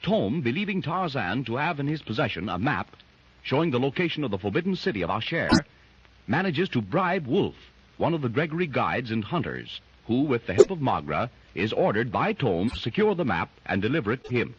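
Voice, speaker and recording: Male announcer, measured serial recap, husky voice, lo-fi 1930s radio transfer. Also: mid-range voice, very animated. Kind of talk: scripted radio serial narration. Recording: muffled 1930s radio recording.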